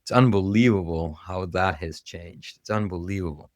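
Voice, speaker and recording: A man's voice: sits low, normal speed, dry recording, studio quality. A man from Ecuador hosting a casual interview podcast, restarting phrases as he talks. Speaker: podcast host.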